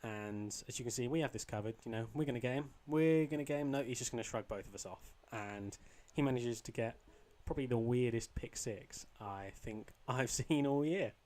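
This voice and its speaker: A young man with a slightly croaky voice, casually commentating his own football video game match, rambling with ums and restarts. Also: medium pitch, brisk, hardly any pauses, darkish tone. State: a bit croaky. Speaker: gaming channel host.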